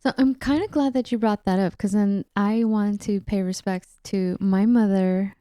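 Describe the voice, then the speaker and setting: Smooth, mellow-voiced woman podcaster. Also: medium pitch, moderate pace, no room sound, clean studio sound. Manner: relaxed, chatty, many fillers. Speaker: podcast co-host. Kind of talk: casual podcast chat.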